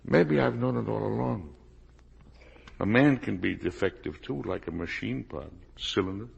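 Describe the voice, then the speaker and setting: An actor playing an older, guilt-ridden, blustering father in 1950s radio drama, with a gravelly, raspy voice. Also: on the low side, normal speed, lo-fi sound, full character voice. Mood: broken, resigned. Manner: blustering, emphatic, swinging from muttered to shouted.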